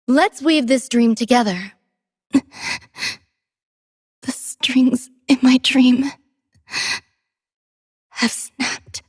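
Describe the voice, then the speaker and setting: A woman voicing a gentle, devoted female android musician in a video game, soft-spoken, tender and poetic in a measured delivery. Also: high voice, husky, dry recording, clean studio sound, heavily acted. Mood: solemn, resolute. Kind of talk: scripted game character voice lines.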